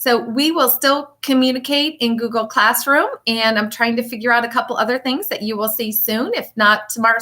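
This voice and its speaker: A woman with a fairly high, strikingly bright voice reads aloud for young pupils. She speaks as a teacher would, expressively and emphatically, shaping phrases with deliberate pauses. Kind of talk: children's picture book read-aloud and chat to pupils.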